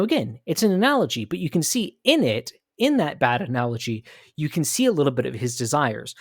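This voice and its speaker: A man's voice: a little high, no echo, studio quality. A man hosting a commentary podcast, arguing emphatically with rhetorical questions and repeated phrases. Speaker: podcast host. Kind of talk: opinionated commentary.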